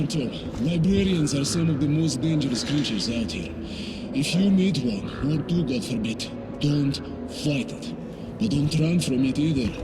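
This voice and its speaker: A man's voice: medium pitch, close to an everyday voice, low-quality recording. A man playing a cautious, fatherly post-apocalyptic ranger guide in a video game, with a Russian accent and instructive, clipped phrases.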